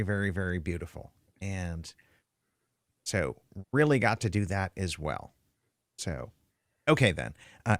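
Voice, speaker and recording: A man's voice: medium pitch, dry recording, studio quality. A man hosting a casual live stream, reading out viewer comments and chatting emphatically about food. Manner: chatty, emphatic, repeats words for effect.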